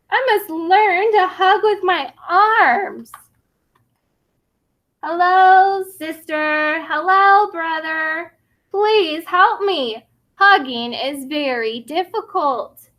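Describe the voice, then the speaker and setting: A woman's voice: very high voice, expressive, extremely slow, a bit bright, full character voice. A woman voicing a tiny young T. rex from a children's storybook, childlike, earnest and determined, in short careful sentences. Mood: pleading. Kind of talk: children's storybook read-aloud.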